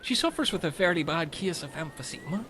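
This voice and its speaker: A man's voice: on the high side, sing-song, fast, bright, forceful tone, slight background noise. A man playing a dim, chatty, earnest Irish castaway in a comedy radio play, rambling and taking things literally. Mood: chatty, matter-of-fact.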